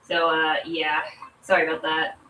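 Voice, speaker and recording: A woman's voice: sits low, monotone, moderate pace, noisy background. A congested woman streamer chatting casually while gaming, in a rambling, informal way full of "like". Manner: rambling, informal, filler-heavy "like". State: congested from allergies. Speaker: game streamer. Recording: echoey room, distant mic, loud music. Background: loud video game music.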